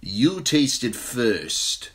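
A man reading an audiobook, voicing a grim, loyal knight who speaks in a measured, terse way. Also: medium pitch, very animated, voice pushed up.

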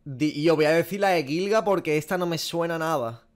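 Animated swearing Spanish gamer. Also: male voice, on the high side, brisk. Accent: Spanish from Spain. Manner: swears often, animated, self-mocking. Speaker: gaming streamer. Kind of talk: casual reaction commentary. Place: small room indoors.

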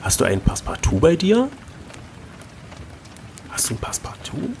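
A man reading an adventure gamebook aloud as host, switching between expressive narration and casual chat. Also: on the high side, normal speed, creaky, a little hiss or hum.

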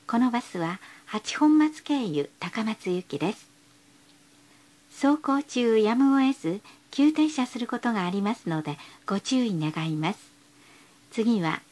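Female transit announcer: high voice, very melodic intonation, polite and formal, precise enunciation for scripted stop calls. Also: a little mellow. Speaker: bus announcer.

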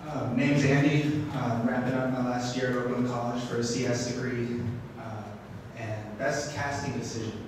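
A man introducing himself as a student, haltingly. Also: mid-range voice, fairly even intonation. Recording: echoey room, far mic, hiss.

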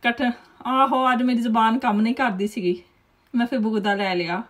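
Woman vlogger in her 30s, chatty storyteller, laughs a lot, slightly high voice. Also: fairly even intonation, normal speed, fairly bright tone. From Pakistan. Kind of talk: casual chat over home video.

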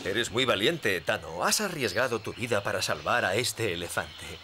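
An actor playing a fair, compassionate park ranger in a dubbed cartoon, speaking earnestly. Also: male voice, on the low side, big pitch swings, normal speed, noisy background. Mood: sympathetic.

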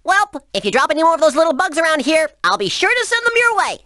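Bright, high, chatty game helper. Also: female voice, fast, no echo, clean studio sound, exaggerated voice. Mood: friendly. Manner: lively, chatty. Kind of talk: scripted video game character dialogue.

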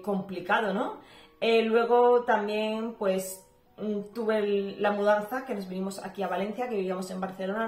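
A talkative woman in her thirties answers viewer questions from her bedroom, speaking casually to a camera at desk distance. Her voice sits in a middle pitch and carries the echo of the room, with faint music underneath. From Cuba. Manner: chatty, talks a lot, frequent fillers. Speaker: home vlogger. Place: bedroom at home, indoors.

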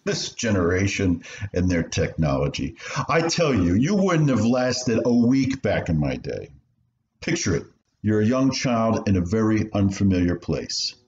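A man's voice: lowish voice, sing-song, unhurried, a little mellow. An actor playing an old man who reminisces about his refugee childhood in a radio play, telling it like a story. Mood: wry, reminiscing. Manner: storytelling, hesitant while remembering. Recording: muffled, roomy, music underneath.

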